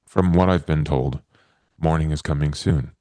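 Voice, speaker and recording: Man playing a deep-voiced, naive stone-skinned vampire. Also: steady tone, moderate pace, warm tone, no room sound. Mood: insistent. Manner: stiff, plain, literal phrasing. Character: naive, blunt male stone-skinned vampire, earnest and literal.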